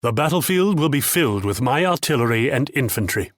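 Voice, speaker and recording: Male actor as a haughty male commander, strategy game character, pompous, patronizing, widely swinging intonation, mid-range pitch, dry close sound. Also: lively intonation, brisk, dry recording, close to an everyday voice. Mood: confident command. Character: haughty, shrewd Dutch military commander, condescending mentor and rival.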